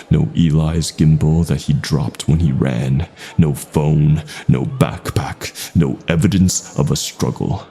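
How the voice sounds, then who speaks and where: A man narrating a scripted first-person horror story in a slow, dramatic way with deliberate pauses. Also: extremely low pitch, creaky, mellow.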